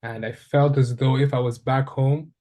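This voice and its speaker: A young man from Uganda talks through his memories in an unhurried, reflective way, often pausing with ums and restarting his sentences. His voice stays level and even, with a warm, mellow tone that never sharpens. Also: medium pitch. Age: young adult. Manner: thoughtful, with frequent ums and restarts. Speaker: interviewee, undergraduate student. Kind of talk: reflective casual conversation.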